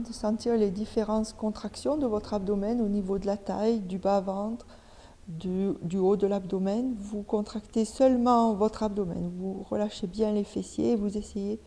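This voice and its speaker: A woman leading a guided relaxation as a yoga teacher, giving step-by-step instructions with pauses between them. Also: mid-range voice, dark tone. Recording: long reverberant hall echo.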